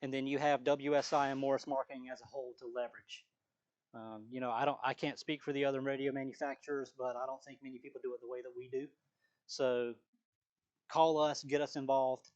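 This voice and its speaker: A man's voice: medium pitch, flat delivery, a little fast, darkish tone, dull audio. A man leading a live sales training on a video call, talking casually with frequent fillers and restarts.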